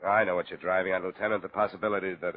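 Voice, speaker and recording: An actor playing a tough, weary investigator in an old radio detective drama, with clipped, blunt delivery. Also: male voice, low-pitched, level tone, rapid-fire, natural voice. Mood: guarded, wry. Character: tough, weary male insurance investigator, hard-boiled and loyal. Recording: thin, tinny vintage radio recording.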